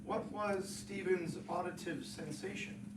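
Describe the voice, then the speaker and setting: A man's voice: sits high, steady tone, a little slow. A man giving a dramatic reading from a literary text, posing short formal questions. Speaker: literary reader. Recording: echoey, distant mic, loud noise.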